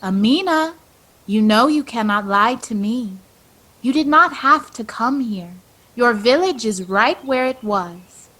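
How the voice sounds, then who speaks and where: Wise female mentor played by a woman: calm, solemn, coaxing, unhurried and fairly high, for radio drama. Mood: knowing reproach. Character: wise, calm, knowing female guide from home. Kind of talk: radio play acting.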